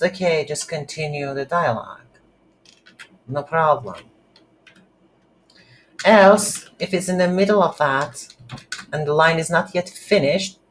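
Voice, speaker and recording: A non-native English speaker giving an unscripted coding tutorial, thinking aloud in a halting way while working at a computer. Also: medium pitch, a little hiss or hum, rough recording. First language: not English. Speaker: programming tutorial instructor. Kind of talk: screen-recorded coding tutorial, unscripted explanation. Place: indoors at a computer.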